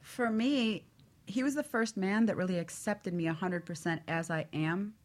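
A woman's voice: mid-range voice, moderate pace. A woman telling personal stories casually, emphatic and acting out bits of quoted dialogue. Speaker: panelist.

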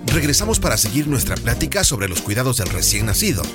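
A man's voice: mid-range voice, very animated, fast. A man with a Mexican accent reading a scripted announcement as the presenter of a radio series. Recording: loud music under the voice. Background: loud music.

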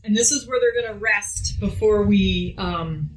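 A woman's voice: mid-range voice, a little slow. A woman co-hosting a food radio show, talking casually and with wry playfulness as she demonstrates baking in a kitchen, some way from the microphone. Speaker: food radio show co-host, home baker. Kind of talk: casual cooking demonstration chat. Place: indoors in a home kitchen. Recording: roomy kitchen, distant from mic.

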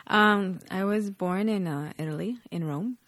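A young woman from Canada whose first language is Polish, chatting as a guest in a relaxed interview and laughing often. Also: medium pitch, a little slow, no room sound. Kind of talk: casual interview chat.

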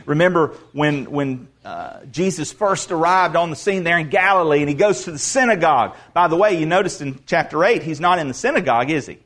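Man, pastor, fairly high-pitched, casual sermon delivery with restarts, swells to loud proclamation. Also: lively intonation. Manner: conversational preaching with asides and restarts, rising to loud proclamation; reads scripture aloud slowly. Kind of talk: expository sermon.

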